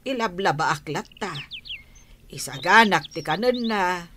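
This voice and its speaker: A woman's voice: lowish voice, animated, unhurried, pushed and bright. An actress playing a doting, worried older mother in a radio drama, plaintive, with an Ilocano accent. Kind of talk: Filipino radio drama acting. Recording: background noise with birdsong. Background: birds chirping.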